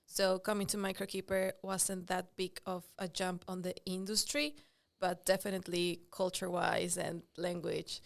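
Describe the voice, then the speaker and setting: Female podcast guest, native Spanish speaker from Ecuador with that accent, creaky edge, conversational interview delivery. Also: lowish voice, flat delivery, normal speed. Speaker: digital marketer, podcast guest. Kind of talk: casual interview talk.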